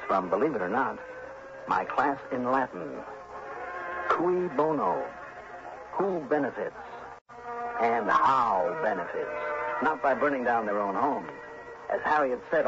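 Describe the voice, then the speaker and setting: A man's voice: medium pitch, gravelly, noisy background. An actor playing a shrewd, self-assured male insurance investigator, wry and clipped, in 1960s radio drama style. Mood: tense, deliberate. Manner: wry, clipped, deliberate storytelling. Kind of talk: 1960s radio drama acting, first-person narration. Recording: dull vintage radio recording, loud music behind.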